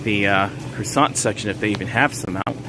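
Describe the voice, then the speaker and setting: A man's voice: on the low side, fairly quick, noisy background. Chatty man livestreaming in a grocery store. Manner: chatty, reads chat names aloud, frequent fillers and small laughs. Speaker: livestream host. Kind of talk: casual live-stream chat while shopping.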